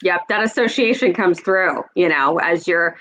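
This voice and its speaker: A woman's voice: on the low side, normal speed, sharp tone. A woman in her thirties from Oregon, a real estate executive, talking about her career as a podcast guest in a friendly, upbeat way. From Oregon, USA. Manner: friendly, upbeat, laughs easily. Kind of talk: conversational interview.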